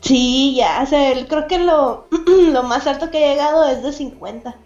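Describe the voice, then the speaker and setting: Chatty high-voiced woman on a call. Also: measured pace, a little mellow, echoey room, dull audio, faint music behind. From Mexicali, Baja California, Mexico. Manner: chatty, many fillers and restarts, laughs often. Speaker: guest, cartoonist and event organizer. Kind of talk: casual interview chat.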